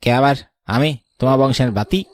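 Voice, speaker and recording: A man's voice: medium pitch, normal speed, darkish tone, lightly acted. Man playing a sneering, greedy cartoon villain. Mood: menacing. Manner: taunting, sneering. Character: cruel, greedy man who murders a relative for property. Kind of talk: Bengali horror cartoon voice acting.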